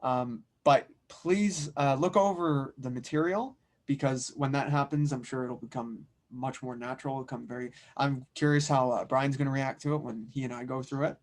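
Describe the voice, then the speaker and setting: Male audio drama narrator, medium pitch. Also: fairly even intonation, moderate pace, few pauses. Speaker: narrator and director of the table read.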